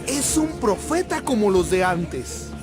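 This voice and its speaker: A man playing an excited townsman, speaking emphatically in a dramatized audio Bible. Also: high voice, takes it slowly, music in the background, exaggerated voice. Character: excited townsman spreading rumors.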